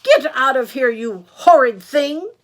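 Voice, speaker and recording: Storybook grandmother: elderly, high-pitched, exclamatory and easily irked, with big swoops. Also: expressive, takes it slowly, heavily acted. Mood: furious. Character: feisty, easily annoyed old bookish granny. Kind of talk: storybook character voice.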